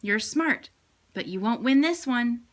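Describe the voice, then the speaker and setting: A woman voicing a grumpy older man, competitive and gruffly playful, in a storybook read aloud. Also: fairly high pitch, expressive, a little slow. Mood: challenging.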